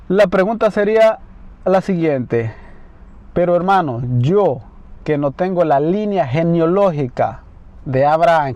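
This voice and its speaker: A man preaching a sermon, reading scripture slowly and answering his own questions. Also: a little high, darkish tone, no echo. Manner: asks questions and answers them himself; slow, deliberate reading of scripture. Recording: slightly dull, lo-fi sound.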